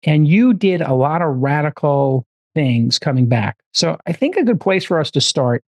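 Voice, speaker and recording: Higher-pitched New York man hosting casually. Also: expressive, moderate pace, a little mellow, dry recording. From Brooklyn, New York, United States. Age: 50s. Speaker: podcast host and interviewer. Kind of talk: casual conversational interview.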